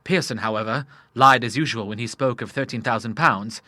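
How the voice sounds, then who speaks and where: A man's voice: mid-range voice, a little fast, sharp tone, no room sound, natural voice. A man voicing an earnest, honourable grown son in an audiobook, speaking formally and with emphasis. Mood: earnest, worried. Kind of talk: audiobook character voice.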